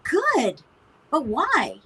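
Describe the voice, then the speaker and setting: A woman's voice: sits high, wildly swooping pitch, very slow, sharp tone, a little hiss or hum, full character voice. A woman playing a frazzled, sardonic mother of a grown son, dry and exasperated, in naturalistic play dialogue. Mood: sardonic, indignant.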